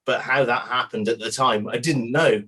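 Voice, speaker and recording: A man playing a candid, doubting disciple testifying as a witness in a dramatized inquest, husky, emphatic but halting as he starts. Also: mid-range voice, steady tone, pushed and bright.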